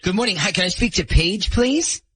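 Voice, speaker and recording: Male morning-show host, animated higher voice, brisk joking delivery. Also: husky. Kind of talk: morning-zoo radio banter.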